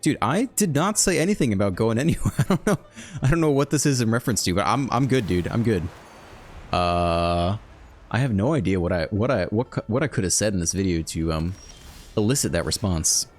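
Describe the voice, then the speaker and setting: Low-voiced man casually commentating a card game. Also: brisk, slight background noise. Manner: thinks aloud, relaxed and chatty. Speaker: game video host. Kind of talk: casual live gameplay commentary.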